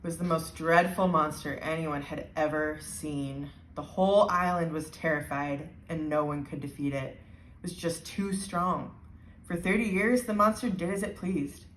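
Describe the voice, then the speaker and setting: A woman voicing a grave old island handyman telling a storybook tale in a measured way. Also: low-pitched, far from the mic, slight background noise, lightly acted. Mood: grave, hushed. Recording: roomy, echoing indoor sound.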